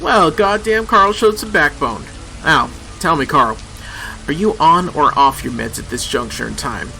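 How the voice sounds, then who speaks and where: An actor playing a gruff, sardonic, foul-mouthed small-town police chief in a radio drama, confrontational and folksy. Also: male voice, on the high side, lively intonation, unhurried, bright, forceful tone, faint music behind, full character voice, poor audio.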